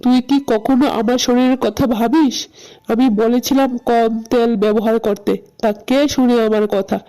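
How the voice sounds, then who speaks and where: A woman's voice: on the high side, steady tone, normal speed, dark tone, clear, resonant tone, natural voice, low-quality recording. A woman voicing a quarrelsome, fault-finding older mother-in-law in a cartoon, complaining and scolding as she talks. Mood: nagging, aggrieved. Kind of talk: cartoon voice acting.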